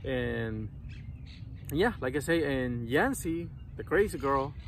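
A man's voice: medium pitch, expressive, slow pace, slight background noise. A man in his thirties who runs a lawn care business, chatting casually to his phone camera while sitting on the grass in a city park, rambling with lots of fillers. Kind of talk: casual vlog talking to camera. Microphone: phone camera at arm's length.

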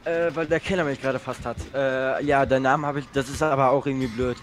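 A man's voice: medium pitch, steady tone, fairly quick, a little hiss or hum. A young man playing a horror game with a friend, chatting casually and swearing, yelping when he gets chased. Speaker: gamer co-op player. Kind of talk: casual let's play chat.

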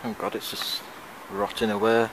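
A man's voice: fairly low pitch, level tone, moderate pace, crowd noise. A man giving casual exploring commentary outdoors into a handheld camera as a vlogger. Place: outdoors among derelict brick buildings and lanes. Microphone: handheld camera microphone. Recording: very noisy windy outdoor camera recording.

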